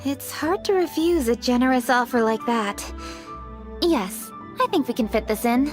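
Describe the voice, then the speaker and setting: A woman's voice: a little high, expressive, normal speed, over music, lightly acted. A woman voicing a poised, serious young noblewoman in a video game, speaking in a composed, formal way.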